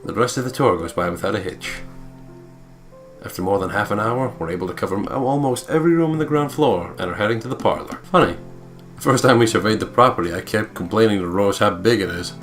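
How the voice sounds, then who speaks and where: Low-voiced playful man reading a game aloud. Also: fast, faint music behind. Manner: sweary, playful, theatrical reading. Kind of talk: joking narration of a visual novel.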